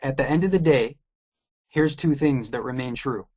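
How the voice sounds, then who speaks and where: A man's voice: mid-range voice, moderate pace, darkish tone, lo-fi sound. A man in a heated debate, combative and repeating his challenges.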